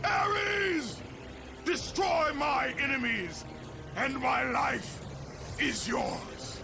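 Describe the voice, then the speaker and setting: A man playing a fierce, desperate young Spartan captain with a gravelly voice in a video game, over music. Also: very high-pitched, very slow, heavily acted. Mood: desperate roar. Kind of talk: dramatic video game acting. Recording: loud game music behind.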